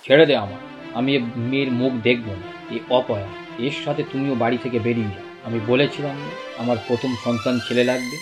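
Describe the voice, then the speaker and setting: A man's voice: mid-range voice, fairly quick, over music, lightly acted. A man voicing an angry, superstitious village father in a cartoon, ranting harshly. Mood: furious, rejecting. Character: angry superstitious village father rejecting his newborn daughter. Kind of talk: cartoon voice acting.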